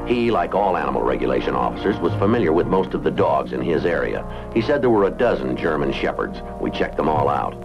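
Very deep, deadpan police detective. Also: male voice, fairly quick, creaky, hardly any pauses, music in the background, exaggerated voice. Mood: terse, matter-of-fact. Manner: clipped, deadpan, matter-of-fact. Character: stoic, methodical male police detective sergeant. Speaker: lead actor and narrator. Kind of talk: terse police radio drama narration and dialogue. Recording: muffled old-time radio recording.

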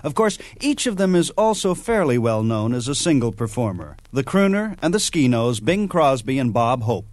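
A man's voice: medium pitch, sing-song, normal speed, hardly any pauses, dry recording. A man presenting a radio program as host, in scripted narration.

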